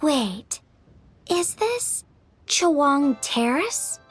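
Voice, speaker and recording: An earnest young game heroine, high and girlish with very lively intonation. She sounds wide-eyed and slightly flustered, with soft music behind her. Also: drawn-out words, exaggerated voice. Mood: amazed, flustered. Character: earnest, expressive young village woman, easily amazed. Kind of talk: video game voice acting.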